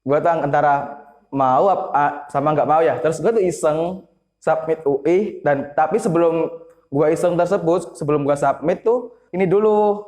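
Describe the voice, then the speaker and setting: Young male student from East Java, easygoing and slangy, slightly high pitch. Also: a little mellow, reverberant. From Lamongan, East Java. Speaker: university student interviewee. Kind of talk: casual slangy chat.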